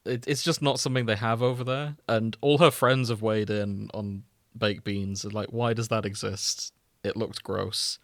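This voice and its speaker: A man's voice: lowish voice, fairly even intonation, normal speed, few pauses, fairly bright tone, dry recording, clean studio sound. A man hosting a podcast, talking in a casual, joking, conversational way. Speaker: podcast host. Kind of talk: casual comedic chat.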